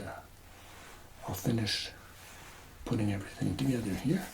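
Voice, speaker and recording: A man doing a soft-spoken ASMR roleplay as a tax collector, hesitant and conspiratorial, often dropping into whispers. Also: lowish voice, slight background noise, rough recording.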